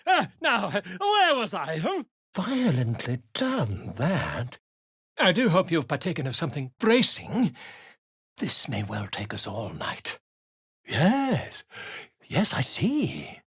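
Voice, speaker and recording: A pompous male bard for a fantasy game, all theatrical flourish and wordy grandeur. His husky voice leaps up and down expressively, and the recording is dry and close. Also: on the high side, wildly swooping pitch, takes it slowly, a bit bright, dry recording, heavily acted. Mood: chatty, self-assured, then inquisitive, fussy. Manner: florid, grandiose, wordy delivery. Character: pompous, flamboyant male bard and self-important author. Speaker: video game character actor.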